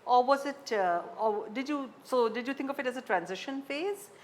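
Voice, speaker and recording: Female Indian interviewer, fairly high voice, conversational questioning, slight background noise. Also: animated, normal speed. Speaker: interviewer, host.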